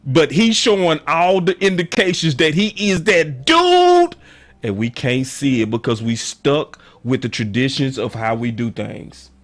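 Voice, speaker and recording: A hoarse, raspy-voiced man hosting a sports call-in show, talking in an emphatic, slangy, animated way. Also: on the high side, measured pace. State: hoarse, voice going in and out. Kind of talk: passionate sports commentary to callers and viewers.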